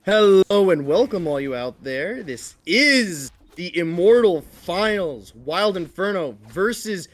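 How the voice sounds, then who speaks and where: A man giving live esports commentary and analysis as a shoutcaster. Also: high-pitched, animated, slow, few pauses.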